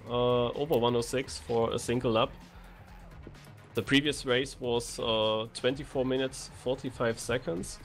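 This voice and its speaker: A man giving conversational live commentary as a co-caster on an esports racing game. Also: mid-range voice. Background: music.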